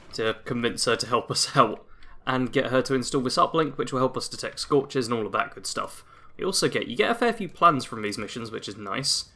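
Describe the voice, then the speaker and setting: A man's voice: medium pitch, pushed and bright. A man giving casual, chatty commentary as he plays a game, saying he is getting a cold. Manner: chatty, self-narrating. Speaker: gaming YouTuber. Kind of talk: gameplay commentary.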